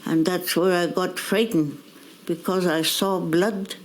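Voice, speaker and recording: Slow-spoken woman in her seventies. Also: lowish voice, steady tone, slight background noise, rough recording. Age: 70s. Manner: slow, halting, with pauses and fillers. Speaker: residential school survivor. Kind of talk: interview testimony.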